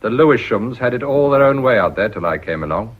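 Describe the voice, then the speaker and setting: An actor playing a suave, ruthless English financier husband in a period radio drama, with sardonic, formal speech. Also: male voice, mid-range voice, expressive, fairly quick, close to an everyday voice. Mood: smooth, menacing. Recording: dull, lo-fi radio recording.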